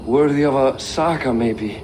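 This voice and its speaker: A man playing a proud, wistful dying warrior king in a cinematic video game scene, solemn and halting. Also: mid-range voice, a little mellow, a little hiss or hum, close to an everyday voice. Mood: weary, proud. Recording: music behind.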